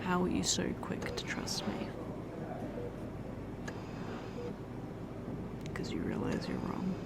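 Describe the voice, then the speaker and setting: A woman's voice: lowish voice, wildly swooping pitch, creaky, in a whisper, full character voice. A woman playing a distraught young fiancée. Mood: hushed, wounded. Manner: halting, with stammered restarts. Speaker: ASMR roleplay performer. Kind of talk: ASMR roleplay.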